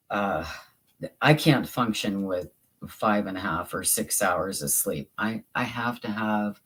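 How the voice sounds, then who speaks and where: Soothing voice, middle pitch, very slow, halting casual chat, livestream host. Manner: slow and halting, with many repeated starts. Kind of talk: casual chat while crafting, reading chat.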